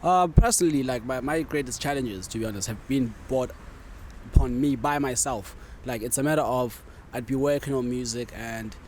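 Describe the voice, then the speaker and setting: A man from South Africa with a mid-pitched voice talks casually in an interview as a music artist. He is recorded outdoors, with faint urban traffic noise behind him. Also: darkish tone. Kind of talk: casual chat.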